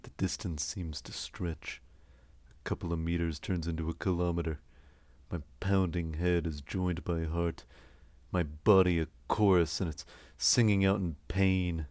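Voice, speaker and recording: Very deep, brooding noir detective played by an actor. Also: male voice, darkish tone, no echo, lightly acted. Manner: terse, wry, brooding. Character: hard-boiled, world-weary male detective narrating his own nightmare. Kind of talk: noir audio drama narration.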